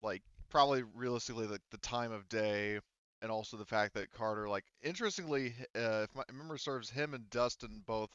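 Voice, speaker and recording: Smooth-voiced man running a tabletop game. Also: fairly low pitch, brisk, fairly bright tone, no room sound, lo-fi sound. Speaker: game master. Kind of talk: casual tabletop game narration.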